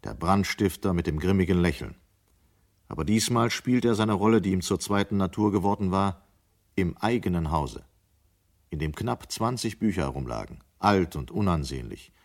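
A man's voice: low-pitched, moderate pace. A man narrating a literary story as scripted narration in a 1970s German radio drama.

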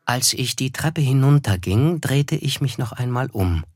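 An actor playing a reflective, reserved young man who narrates his past in measured literary German for a radio play. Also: mid-range voice, expressive, no echo, clean studio sound.

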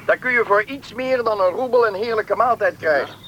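A helpful official shows newcomers around in an old radio play. His voice is high and very bright, his manner courteous and explanatory, with faint noise behind him. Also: lo-fi sound. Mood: informative, proud. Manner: explanatory, polite, brisk guide. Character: helpful, talkative male settlement official showing newcomers around. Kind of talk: Dutch radio play acting.

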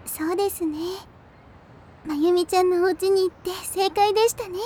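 A very high-pitched, soft-spoken teenage girl from an anime audio drama. She is devoted and fragile, choosing her polite words with hesitation. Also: moderate pace. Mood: hesitant, wistful.

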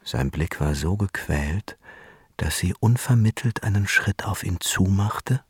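A man narrating a radio drama in a measured, suspenseful storytelling manner. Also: sits low, expressive, slightly rough, no echo, studio quality.

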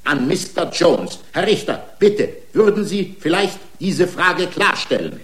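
A man's voice: sits high, very animated, a little slow, fairly bright tone, full character voice. An actor playing an indignant, argumentative racing driver who is part man, part machine, pleading his own case in a German radio play. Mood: challenging. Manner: argumentative, rhetorical, aggrieved. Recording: roomy studio courtroom sound.

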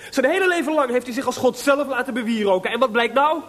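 A man acting in a radio play as an excitable, aggrieved composer short of money, stammering and exclaiming. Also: very high voice, quick pace. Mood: furious. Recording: noisy radio play recording.

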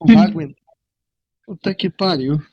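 A man's voice: a little high, expressive, moderate pace, husky, no room sound, dull audio. A man with a Brazilian accent joking in a casual group voice call, talking in slangy exclamations. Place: online voice call.

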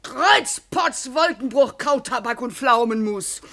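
An actress playing a gruff, swearing older female sea captain, hearty and indignant, in a radio play. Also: sits high, lively intonation, slow, a bit bright, reverberant, full character voice. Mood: blustering outrage. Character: blustering, hearty female whaling captain, cursing in sailor slang.

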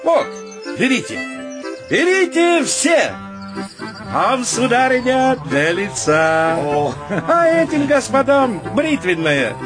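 An actor playing an eccentric, excitable suitor in a comic radio play, halting and emphatic as he repeats his words, with music behind him. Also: very high-pitched, full character voice. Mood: solemn, generous.

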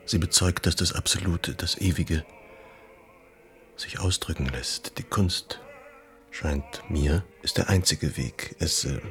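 An actor playing a weary, sickly Romantic poet in a literary radio play, speaking in a halting, pensive way. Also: male voice, deep voice, a bit bright, soft background music, full character voice.

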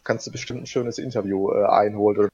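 A man with a slightly husky voice talks eagerly and rambles, piling on fillers. The audio is muffled and lo-fi. It has the feel of a casual podcast guest spot. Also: fairly low pitch, steady tone, fast, a little mellow. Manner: enthusiastic, rambling, laughs into sentences. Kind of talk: casual chat.

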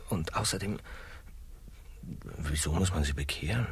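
An actor in a satirical radio play, playing a restless, frustrated young explorer who pleads and insists. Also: male voice, very deep voice, moderate pace, a little hiss or hum. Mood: hushed, insistent. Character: restless, frustrated young Columbus as dreamer and schemer.

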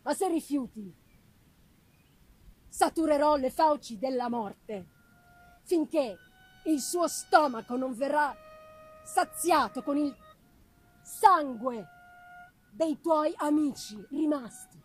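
A wretched, created giant from a gothic tale speaks through a woman reader. The delivery is drawn out and deliberate, broken into short phrases. It is bitter and pleading, with a threat beneath it. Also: sits high, expressive. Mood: menacing, reproachful. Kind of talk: dramatic audiobook-style reading of a gothic novel.

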